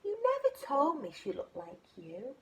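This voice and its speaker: A woman voicing an eager, helpful butterfly in a picture book, coaxing and sometimes hushed. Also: high voice, wildly swooping pitch, unhurried, a little hiss or hum, pitched above the performer's own voice, heavily acted, low-quality recording. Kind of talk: storybook read-aloud.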